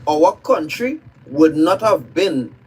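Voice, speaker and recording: A man's voice: on the high side, very animated, slow pace, darkish tone, husky, low-quality recording. A man from Trinidad and Tobago with a Trinidadian accent, giving outspoken, opinionated commentary on a live stream.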